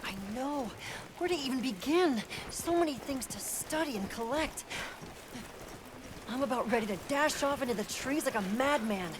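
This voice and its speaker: A woman's voice: sits high, lively intonation, normal speed, background noise. A woman playing an eager, scholarly monster researcher in a video game, articulate and analytical in delivery. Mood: eager, bright. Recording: loud music over the voice. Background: loud game music.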